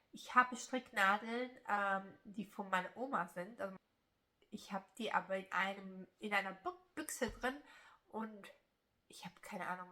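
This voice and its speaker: A woman's voice: medium pitch, bright, forceful tone. A young woman from German-speaking Switzerland with a Swiss German accent, whose first language is Italian, chatting casually and rambling in a crochet vlog with many filler sounds. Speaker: crochet YouTuber.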